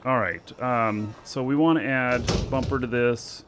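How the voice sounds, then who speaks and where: A man at his computer, talking through a game in an easy, unhurried way, with plenty of pauses and ums while he figures things out. His voice sits at an ordinary middle pitch. A faint hiss of noise runs underneath. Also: steady tone. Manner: slow, thinking aloud with many fillers. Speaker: game streamer. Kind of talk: casual gameplay commentary.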